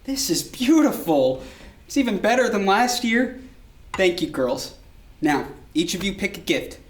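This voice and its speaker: A woman's voice: medium pitch, big pitch swings, measured pace, a little hiss or hum. A woman playing an overworked, short-tempered father, blunt and commanding, in a staged play reading. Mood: fond. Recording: echoey room.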